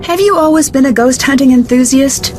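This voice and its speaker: An actress playing a polite, direct young amateur detective in a video game, with an American accent and an inquisitive manner. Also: female voice, high-pitched, brisk, darkish tone, soft background music, close to an everyday voice. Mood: friendly, curious. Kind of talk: video game character dialogue.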